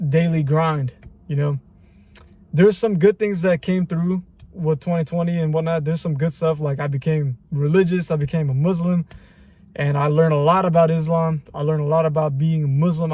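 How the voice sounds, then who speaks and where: Reflective young man, slightly high voice. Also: a little mellow. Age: 20s. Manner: reflective, rambling, slangy with repeated phrases. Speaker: vlogger. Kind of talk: casual personal vlog monologue.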